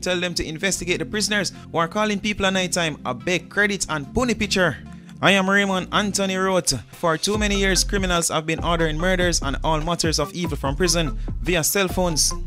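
A man with a Jamaican accent hosting an entertainment news show, reading out stories and comments with his own commentary. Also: high voice. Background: music.

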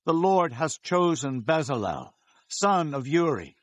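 A solemn bible prophet leader, really slow and deliberate, commanding, very dry close recording. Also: male voice, a little high, big pitch swings, no echo. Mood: solemn, instructing. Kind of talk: dramatized audio Bible acting.

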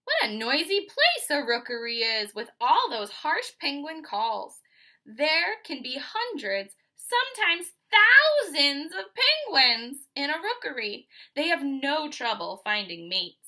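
Bright, high-voiced young American woman reading aloud. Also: big pitch swings. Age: 20s. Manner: instructive, pausing to explain and question. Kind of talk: children's picture book read-aloud with explanatory asides. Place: small furnished room at home, indoors.

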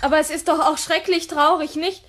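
A high-voiced, naive young housemaid. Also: female voice, fairly even intonation, a little fast, fairly bright tone. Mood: wistful, naive. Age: young adult. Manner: deferential, artless. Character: young housemaid, naive, eager, sentimental girl. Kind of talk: 1950s radio drama dialogue.

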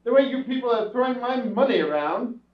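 A man's voice: very high-pitched, lively intonation, fairly quick. A man playing a grumbling, sarcastic, exasperated father of a wealthy family in a staged radio play. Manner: sarcastic, blustering. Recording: echoey stage, far mic, muffled.

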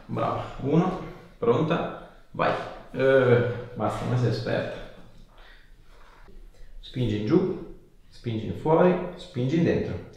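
A man's voice: medium pitch, expressive, slow, long pauses, a little mellow. A man in his thirties working as a chiropractor, giving a patient short, hands-on instructions. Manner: short directive phrases. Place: small indoor treatment room. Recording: echoey room with faint noise.

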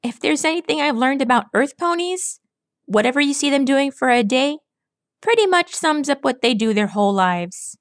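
A woman's voice: sits high, lively intonation, moderate pace, no room sound, studio quality, pitched above the performer's own voice. A woman voicing a brash, cocky young female pegasus pony who teases in casual slang. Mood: teasing. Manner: teasing, casual slangy talk.

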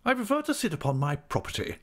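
A man acts out a formidable elderly great-aunt from a classic storybook. The voice is raised high and swings widely, brusque and commanding, full of blunt disapproval. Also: huge pitch swings, fast talker, fairly bright tone, exaggerated voice. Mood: brisk, firm.

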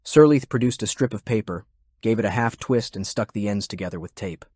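A man's voice: fairly low pitch, brisk, darkish tone, husky, no echo, studio quality. A man reading scripted narration as an audiobook narrator.

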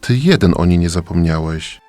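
Man acting a sorrowful old king, low voice. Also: lively intonation, normal speed, warm tone, no room sound, faint music behind. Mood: wistful. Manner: solemn, pleading. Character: sad, ailing old king, tender father. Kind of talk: children's radio play acting.

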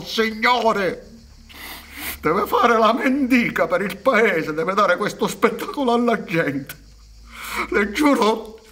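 A middle-aged man acting in a radio drama as an aggrieved, proud peasant son, deferential yet impassioned, with a husky voice. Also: fairly high pitch, bright, forceful tone, heavily acted. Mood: furious. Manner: deferential, then impassioned and indignant. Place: theatre stage set up for radio recording. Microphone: stand microphone with headphones.

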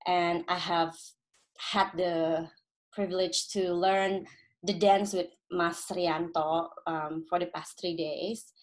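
A woman's voice: lowish voice, flat delivery, poor audio. A woman in her thirties with an Indonesian accent, speaking informally and hesitantly on a video call. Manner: hesitant, halting phrasing. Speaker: guest from a community group. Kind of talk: informal speech of thanks. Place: bedroom, indoors. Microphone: computer on a video call.

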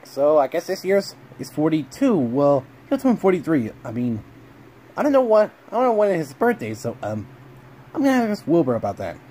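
A man's voice: fairly high pitch, big pitch swings, moderate pace, dark tone, slight background noise. A man narrating his own casual home video, rambling with frequent restarts, recorded on a handheld phone at home.

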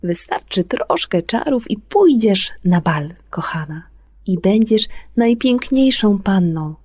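A woman voicing a kindly, reassuring good fairy godmother for a children's fairy tale, brisk, warm and emphatic. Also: mid-range voice, lively intonation, husky, dry recording, studio quality. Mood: brisk, cheerful.

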